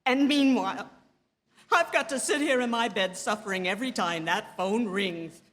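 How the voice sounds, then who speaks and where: An actress playing a nervous, demanding bedridden wife in a theatrical radio suspense drama, querulous and melodramatic. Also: female voice, mid-range voice, lively intonation, moderate pace, fairly bright tone, natural voice. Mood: indignant, irritable. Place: theatre stage. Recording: live theatre stage, long hall reverb.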